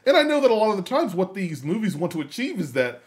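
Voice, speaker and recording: A man hosting a podcast in casual discussion, haltingly repeating phrases as he builds his point. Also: high-pitched, sing-song. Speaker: podcast host.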